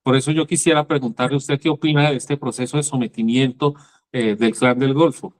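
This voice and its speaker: A man's voice: medium pitch, steady tone, brisk. A Colombian man from Nariño, raised in Bogotá, with a Bogotá accent, hosting a political interview and asking long, hesitant questions. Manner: hesitant, many restarts and fillers. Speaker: interviewer, host.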